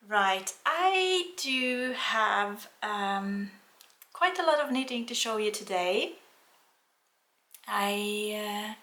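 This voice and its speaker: Norwegian woman vlogger, soft-spoken and slow, bright tone, roomy echo. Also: mid-range voice. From Norway. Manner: soft-spoken, gentle, unhurried with fillers. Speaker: knitting vlogger. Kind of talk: casual chat to camera.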